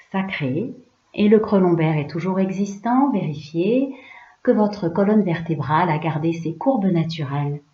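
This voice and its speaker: A woman yoga teacher leading a guided relaxation in a hushed, soothing, unhurried way, leaving pauses between short phrases. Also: fairly low pitch. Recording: roomy, slightly dull recording.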